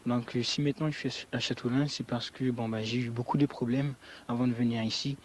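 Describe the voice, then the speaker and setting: Young guy from french guiana in an interview, earnest, emphatic, medium pitch, little bit of noise behind. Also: fairly even intonation, fast talker. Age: young adult. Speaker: student interviewee. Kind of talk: spontaneous personal account in interview.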